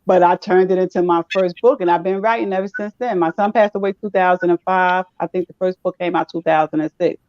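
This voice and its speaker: A woman from New Jersey, a guest author telling her story in a casual interview, soft-spoken. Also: lowish voice, fairly even intonation, a little fast, few pauses, dry recording, dull audio.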